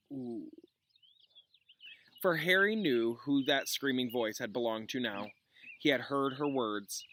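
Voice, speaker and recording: A man's voice: medium pitch, fairly bright tone. A man reading a storybook aloud as a classroom teacher, expressively, with informal asides for pupils. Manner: informal, explains words for pupils.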